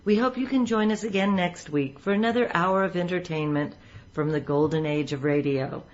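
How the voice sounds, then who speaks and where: A woman podcast host reading a scripted sign-off in a measured presenting style. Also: lowish voice. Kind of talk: scripted narration, show sign-off. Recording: thin, phone-like narrow band.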